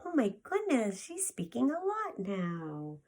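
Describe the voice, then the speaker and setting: A woman gives an expressive preschool read-aloud, drawing out her words at a slow pace. Her pitch swings widely, with theatrical asides to young listeners. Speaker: preschool teacher reading aloud. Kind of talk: expressive children's picture-book read-aloud.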